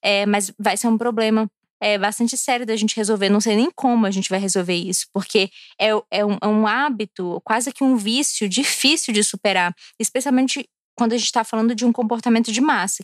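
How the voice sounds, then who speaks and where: Uma mulher de Brasília falando de forma casual e cheia de rodeios num vlog, um pouco alegre depois de beber. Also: female voice, medium pitch, no echo, studio quality. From Brasília, Brazil. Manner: chatty, digressive, with frequent fillers and restarts. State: a little tipsy from drinking. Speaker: vlogger.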